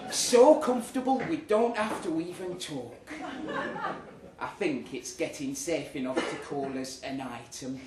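Guy acting as a besotted pompous british office bloke, earnest n slow, echoey room. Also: fairly high pitch, lightly acted. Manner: earnest, self-important, theatrical. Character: lovesick, pompous, self-deluded male office worker diarist. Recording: roomy live pub recording, distant, faint noise.

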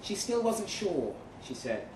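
A man reading a first-person short story aloud dramatically, with measured delivery and deliberate pauses. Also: high voice, sing-song, darkish tone. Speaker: short story reader at a live event. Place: event room in a pub. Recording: roomy, distant mic with faint noise.

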